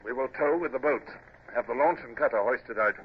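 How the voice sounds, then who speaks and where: An actor playing a resolute British naval captain of the Napoleonic era in an old radio adventure drama. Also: male voice, mid-range voice, a little fast, lightly acted. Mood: commanding. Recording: dull, noisy old radio drama recording.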